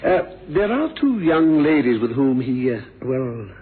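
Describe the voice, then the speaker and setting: A man's voice: mid-range voice, sing-song, unhurried, breathy edge, phone-quality sound, full character voice. A man acting a prim, deferential managing director in a radio drama, formal and a little hesitant. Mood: prim disapproval. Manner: formal, hesitant, discreetly disapproving. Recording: thin band-limited old radio, noisy.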